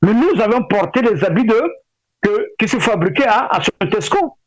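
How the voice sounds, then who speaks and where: High-voiced Congolese man, halting speech. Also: expressive, dry recording. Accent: Congolese French. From Brazzaville, Republic of the Congo. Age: middle-aged. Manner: hesitant, halting delivery with repeated words. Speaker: interview guest. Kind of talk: spoken interview, opinionated discussion. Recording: dull lo-fi radio sound.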